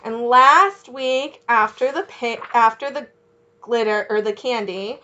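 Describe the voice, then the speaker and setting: A woman reading a picture book aloud as a children's teacher, encouraging young listeners with questions and pausing for their answers. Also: on the high side, sharp tone, dull audio.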